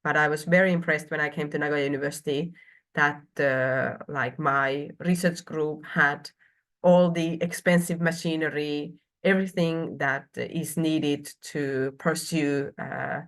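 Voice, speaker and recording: A woman's voice: sits low, fairly even intonation, a little slow, a bit bright. A woman from Finland in her thirties presenting a university program online, with frequent hesitations and filler sounds.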